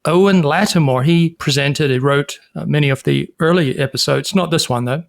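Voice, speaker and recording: A man co-hosting a podcast, explaining history in a measured, informative way. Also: a little high, vocal fry. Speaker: podcast co-host. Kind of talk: casual chat.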